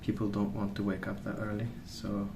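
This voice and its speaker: A man rambling softly in an ASMR style, hushed, hesitant and unhurried. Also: on the low side, completely flat delivery. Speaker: ASMR video host. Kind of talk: soft-spoken ASMR rambling. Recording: roomy, distant mic with clear noise.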